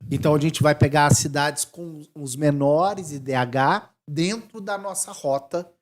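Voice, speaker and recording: Brazilian man in his forties, missionary guest, casual interview chat, animated, restarts. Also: a little high, a little slow. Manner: animated, thinking aloud with restarts.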